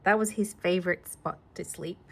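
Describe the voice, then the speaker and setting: A woman reading a children's storybook aloud in an informal, gentle, conversational way, adding personal asides for a child. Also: fairly low pitch, normal speed, creaky, slightly rough. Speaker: storybook reader.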